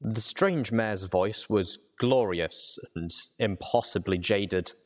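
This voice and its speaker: Low voiced guy doing dramatic audiobook narration, first person story. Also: slow pace.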